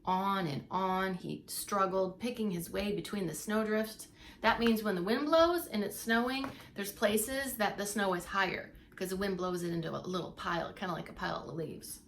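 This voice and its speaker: A woman's voice: medium pitch, moderate pace, slight background noise. A woman reading a story aloud like a preschool teacher, with dramatic emphasis and asides to young listeners. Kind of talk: expressive read-aloud storytelling for young children.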